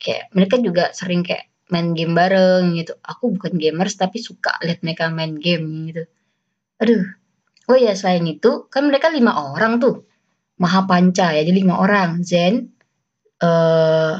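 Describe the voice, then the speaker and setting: Young woman from west kalimantan, malay-javanese accent, low-ish voice, giggly rambling podcast chat. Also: darkish tone. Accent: Malay-tinged Indonesian with Javanese roots. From West Kalimantan, Indonesia. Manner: chatty, giggly, rambling with fillers. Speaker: podcast host. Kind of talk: casual solo podcast chat. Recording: dull lo-fi sound.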